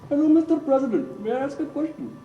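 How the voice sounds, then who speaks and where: A man's voice: very high voice, big pitch swings, quick pace, mellow, lo-fi sound, a little hiss or hum. A man voicing a nervous, stammering Iraqi cab driver in a comedy skit. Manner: stammering, flustered. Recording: echoey room, voice far from mic.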